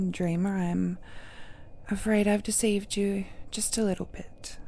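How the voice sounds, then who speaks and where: A woman playing an ancient godlike villainess in an intimate audio drama, seductive, possessive and falsely tender, with coy endearments and a breathy voice that often drops to a whisper. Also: mid-range voice, warm tone, a little hiss or hum. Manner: slow, coy, drawn-out endearments with teasing murmurs.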